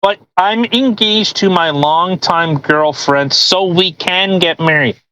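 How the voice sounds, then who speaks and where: A podcast co-host reading a post aloud with casual, mocking commentary, sarcastic and quick to swear and interject. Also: mid-range voice, sharp tone, clear, resonant tone, rough recording.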